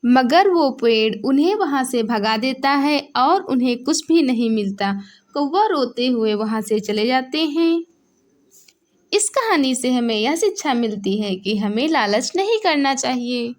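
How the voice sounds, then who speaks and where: A woman's voice: sits high, lively intonation, measured pace. A woman narrating a scripted moral story for children.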